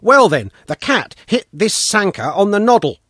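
A man's voice: high-pitched, very animated, a bit bright, husky, no room sound, studio quality, voice pushed up, exaggerated voice. A man voicing a stubborn, cocky village boy. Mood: dogged telling. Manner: dogged, brisk, raised storytelling voice.

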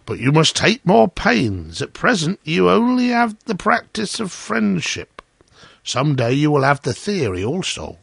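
Male reader as a self-righteous miller, smug, preachy, storybook tale, gravelly, animated intonation. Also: fairly high pitch, unhurried, no room sound, pitched above the performer's own voice, heavily acted. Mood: hearty, smug. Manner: pompous, preachy. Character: smug, self-righteous miller, hearty man lecturing his friend. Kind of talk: storybook character voice.

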